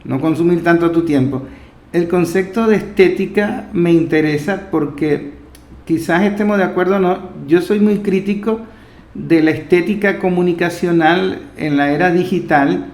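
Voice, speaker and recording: A man's voice: sits high, moderate pace, darkish tone. A Venezuelan man hosting a conversational interview and sharing his own opinions as he talks. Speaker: interviewer, host. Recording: echoey, roomy sound.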